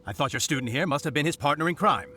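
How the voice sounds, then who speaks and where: A man's voice: on the high side, fast talker, background noise, lightly acted. A man playing an officious, dutiful knight on guard duty in an anime-style video game, speaking in a formal, declamatory way. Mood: explanatory. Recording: music behind the voice.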